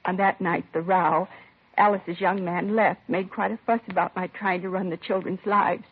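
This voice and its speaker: A woman's voice: lowish voice, steady tone, normal speed. An actress playing a composed middle-aged mother of a slain son in a 1950s radio crime drama, answering plainly and with restraint. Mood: distressed. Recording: vintage 1950s radio, narrow husky sound.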